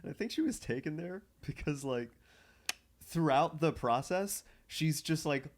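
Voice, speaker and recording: A man's voice: a little high, animated, measured pace, a little hiss or hum. Man telling casual comic anecdotes. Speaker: podcast guest, comedian. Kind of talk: casual comedic storytelling.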